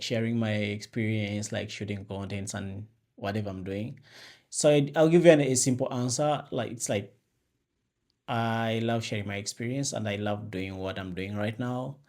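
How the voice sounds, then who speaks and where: A man's voice: fairly low pitch, a little mellow. A young Ugandan man with a Ugandan accent, hosting his own vlog and talking casually to camera, rambling with fillers and restarts, on an external mic into a phone. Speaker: vlogger host.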